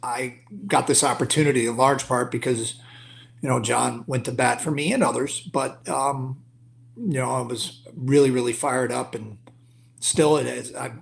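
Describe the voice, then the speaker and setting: A man, a sports broadcaster, chatting casually as a guest and telling anecdotes in a self-deprecating, halting way. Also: mid-range voice, creaky, slight background noise. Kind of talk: casual interview chat, anecdotes.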